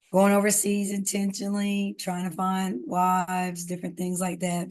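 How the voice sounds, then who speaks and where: A woman hosting a podcast interview, asking long, probing questions. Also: mid-range voice. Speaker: podcast host.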